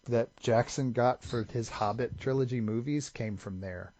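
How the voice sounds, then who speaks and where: A man's voice: medium pitch, moderate pace, a little mellow. A man co-hosting a casual podcast chat, explaining things at length and wandering into tangents. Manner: rambling, tangent-prone lore explainer. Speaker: podcast co-host. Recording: slightly dull lo-fi audio with faint hiss.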